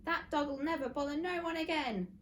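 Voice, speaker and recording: A woman voicing a nasty, cowardly old male villain from a children's storybook, scornful and rough in speech. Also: high voice, moderate pace, a little hiss or hum, pitched above the performer's own voice, close to an everyday voice. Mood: gloating, scornful.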